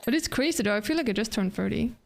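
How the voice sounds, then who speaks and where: A woman's voice: fairly high pitch, animated, fast talker, gravelly, a little mellow. A woman in her thirties chatting casually during a gaming stream, rambling with lots of "like" and frequent laughs. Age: 30s. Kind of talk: casual chat while gaming.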